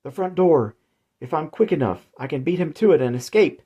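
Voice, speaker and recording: A man voicing a frightened woman pleading for her life, panicked and shrill. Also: animated, a little fast, warm tone, husky. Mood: desperate.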